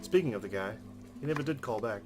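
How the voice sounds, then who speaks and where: A man's voice: medium pitch, animated, fast. A man reading visual novel narration aloud as a let's player, with game music behind him. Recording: music under the voice. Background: game music with plucked guitar.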